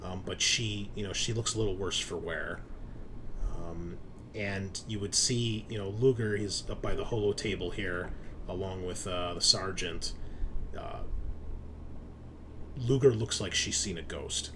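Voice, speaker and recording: A man's voice: low-pitched, measured pace, noisy background. A man narrating a tabletop horror game as game master in a casual, conversational way.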